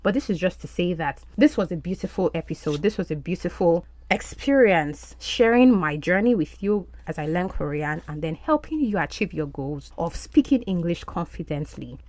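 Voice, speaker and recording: A woman whose first language is Yoruba, hosting a podcast as an English language coach and speaking in an animated, encouraging way. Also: on the low side, moderate pace, few pauses, dry recording. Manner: animated, encouraging teacher's delivery.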